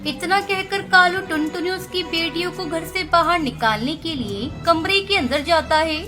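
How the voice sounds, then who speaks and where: High-voiced woman narrating a kids' story. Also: normal speed. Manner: plain storytelling. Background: background music.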